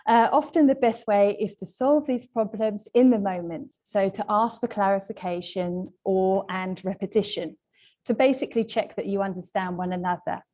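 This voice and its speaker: A woman leads an online language lesson as a trainer. Her voice is mellow and relaxed, at a middling pitch for a woman. She explains things politely and in a measured way, like a prepared presentation. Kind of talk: webinar lesson, semi-scripted presentation.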